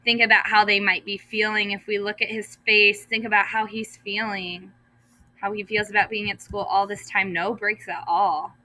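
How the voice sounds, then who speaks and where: Very bright-voiced woman reading to children. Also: medium pitch, low-quality recording. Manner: expressive, deliberate, stresses repeated words. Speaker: first grade teacher. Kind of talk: children's story read-aloud with asides to pupils.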